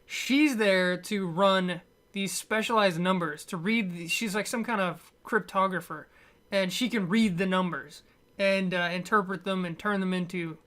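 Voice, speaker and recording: A man talking off the cuff in a casual movie review, halting and stumbling over his words, with wry, dismissive asides. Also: high-pitched, fairly even intonation, a bit bright. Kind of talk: casual unscripted movie rant.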